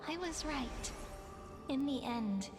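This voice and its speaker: A woman playing a tender, dreamy young maiden in a video game, soft, hesitant and formally courteous. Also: high voice, expressive, slow. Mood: wistful, fond. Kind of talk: game voice acting. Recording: prominent music behind.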